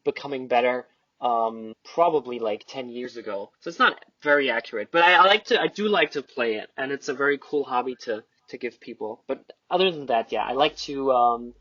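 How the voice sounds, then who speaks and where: Halting young man, dull lo-fi sound. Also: mid-range voice, sharp tone, no room sound. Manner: halting, self-deprecating. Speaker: podcast guest, amateur theater group member. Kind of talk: casual podcast chat.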